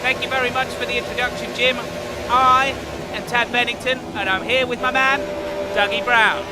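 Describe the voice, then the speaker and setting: A man playing a lead motorsport commentator, excitable play-by-play that lifts on fast laps. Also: very high-pitched, very noisy. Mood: steady. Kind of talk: fan-made motorsport commentary.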